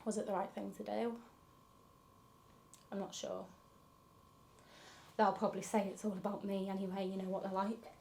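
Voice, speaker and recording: A woman's voice: medium pitch, little pitch movement, normal speed, creaky, long pauses, a little mellow, slight background noise, close to an everyday voice. A woman playing a grieving granddaughter, reflecting confessionally on her fractured family in a film monologue. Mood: wistful. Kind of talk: dramatic monologue in a short film.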